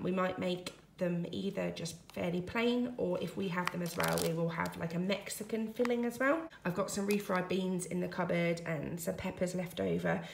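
A woman in her thirties runs through her weekly shop in a chatty, item-by-item way. Her voice has a gravelly fry to it. She is recorded on a phone in a roomy home kitchen with a little background noise. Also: mid-range voice, darkish tone. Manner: chatty, listing items one after another. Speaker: family vlogger. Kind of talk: casual grocery haul vlog. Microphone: phone camera at arm's length. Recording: echoey kitchen with faint noise.

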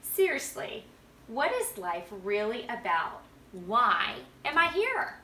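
An eager, curious little boy from a picture book, read by a woman, with a bright, forward voice. The room is audibly live, with some background noise. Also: fairly high pitch, sing-song, slow, recorded from a distance, full character voice. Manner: eager questioning. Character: curious, giggly young boy at a storybook lake. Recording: roomy home recording with background noise.

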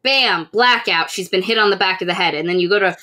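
A young woman giving a casual, comic book recap as a podcast co-host, animated and slipping into mock quotes. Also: medium pitch, fast talker. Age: young adult. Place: small room at home with bookshelves.

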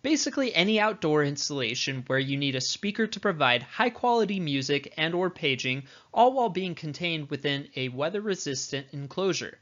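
Male instructor, fairly high voice, scripted tutorial narration, conversational explanatory delivery, slightly dull lo-fi recording. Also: animated, fairly quick, few pauses, fairly bright tone. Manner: explanatory, conversational rhetorical questions. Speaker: instructor narrating a product training video.

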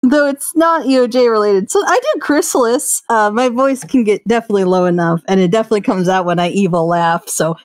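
A woman's voice: mid-range voice, a little fast, few pauses, no room sound. A woman chatting casually and giggling as a voice actor on an online live panel. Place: online voice call.

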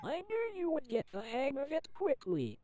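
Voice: high voice, huge pitch swings, moderate pace, heavy background noise. A synthetic female text-to-speech voice playing a cheerful male pet axolotl desktop assistant in a computer game, fawning and sing-song, with game music behind.

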